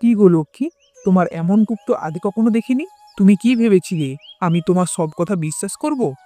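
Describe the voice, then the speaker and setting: A man playing a gullible young husband who is quick to scold in a cartoon story. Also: sits high, expressive, dark tone. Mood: angry, accusing. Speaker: narrator and voice actor. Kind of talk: dramatic storytelling narration for a cartoon tale. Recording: music bed behind the voice.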